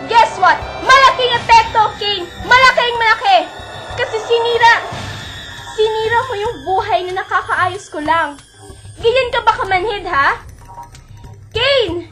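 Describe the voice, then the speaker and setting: A woman with a Filipino accent playing a heartbroken young woman who speaks in an emotional, pleading and accusing way. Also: very high-pitched. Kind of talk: amateur audio drama acting.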